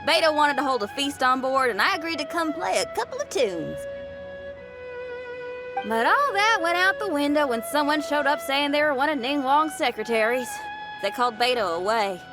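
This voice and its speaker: An actress playing a spunky, excitable young female rock musician in a video game, breezy and colloquial. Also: high-pitched, moderate pace. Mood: upbeat. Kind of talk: video game character voice acting. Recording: loud music under the voice.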